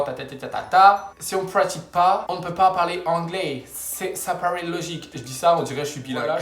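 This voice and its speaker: A man's voice: mid-range voice, reverberant. A young man from France vlogging at home in a slangy, rambling, upbeat way. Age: young adult. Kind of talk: casual vlog talk. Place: room at home, building works nearby.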